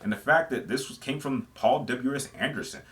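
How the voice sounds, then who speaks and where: A man's voice: medium pitch, fairly quick, a bit bright. A man in his thirties giving opinionated, conversational countdown commentary as a reviewer, with an enthusiastic, emphatic way of talking. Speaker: video game movie reviewer, host.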